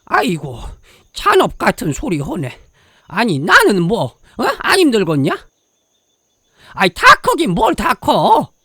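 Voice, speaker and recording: A woman's voice: on the high side, wildly swooping pitch, measured pace, pushed and bright, slightly rough, dry recording, clean studio sound, exaggerated voice. A woman playing a cranky, foul-mouthed, resentful old grandmother in an audio drama, grumbling in a with exasperated sighs. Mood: angry, exasperated. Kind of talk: Korean audio drama acting.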